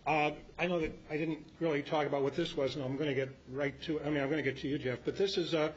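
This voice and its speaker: A middle-aged or older American man with a fairly high voice presents informally at a club meeting. He rambles through anecdotes, and the recording is dull with a faint rumbly noise. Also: steady tone, fast.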